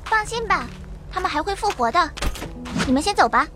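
Very high-pitched spirited young girl. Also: a little fast, background noise. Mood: subdued, resolute. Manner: excitable, exclamatory. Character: spirited young girl adventurer with pet fighting ducks. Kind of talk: Chinese fantasy audio drama acting. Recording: loud music behind the voice.

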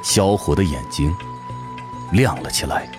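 A man delivering scripted narration as a voice actor, in a dramatic, measured storytelling manner. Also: very deep voice, expressive. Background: music.

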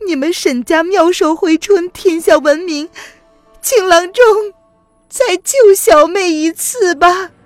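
A woman narrator voicing a desperate young woman who pleads in a high, tearful voice. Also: slow pace, pauses often, no room sound, faint music behind. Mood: pleading.

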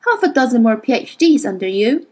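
Lady voicing a fired-up principled scientist woman, high and swingy, totally indignant. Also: very animated, fairly quick, darkish tone. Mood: bitterly sarcastic. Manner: indignant, raised and heated.

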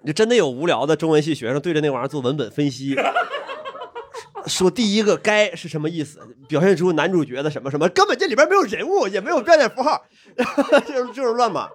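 A man's voice: a little high, expressive, fast talker. A man on a podcast panel, telling comic anecdotes with dry quips and laughing at his own jokes. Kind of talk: comic storytelling in a casual chat.

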